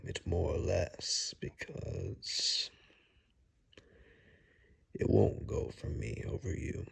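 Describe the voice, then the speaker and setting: Casting: male actor as wary monster-wise woodsman, extremely husky and breathy, slow, halting delivery, blunt ASMR roleplay. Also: extremely low pitch, exaggerated voice. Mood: uneasy, grave. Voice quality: husky, gravelly, breathy near-whisper. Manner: halting, understated, matter-of-fact. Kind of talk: ASMR roleplay, hushed monologue to the listener.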